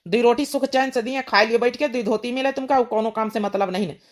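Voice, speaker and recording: Woman doing young awadhi village son in a cartoon, highish voice, protective, telling people off. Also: fast talker, lightly acted. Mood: firm. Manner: firm, admonishing. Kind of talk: cartoon comedy acting.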